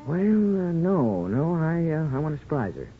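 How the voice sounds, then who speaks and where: An actor playing an earnest, devoted small-town husband in 1950s radio drama, speaking sincerely and a little haltingly. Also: male voice, fairly high pitch, very animated. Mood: warm, hesitant. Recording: muffled 1950s radio recording, faint music.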